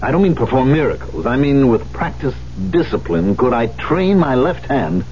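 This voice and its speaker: An actor playing a proud, embittered concert pianist in a 1970s radio drama, with a theatrical, emphatic delivery. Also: male voice, mid-range voice, sing-song, normal speed, a little mellow, lightly acted. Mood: contrite, earnest. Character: proud, embittered concert pianist who lost his hand. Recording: muffled, hissy old radio broadcast.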